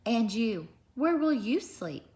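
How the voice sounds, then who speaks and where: Woman reading as a questioning mother, high and lilting. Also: sing-song, slow pace, a little mellow, slight background noise. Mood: questioning, concerned. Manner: questioning, pointed. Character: questioning, practical mother of a young boy.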